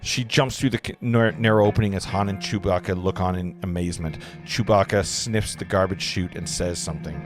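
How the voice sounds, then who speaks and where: Low-voiced man narrating over guitar music. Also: a little fast, hardly any pauses. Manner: stumbles over the script. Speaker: narrator reading stage directions. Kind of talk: scripted narration at a table read. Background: clear guitar music.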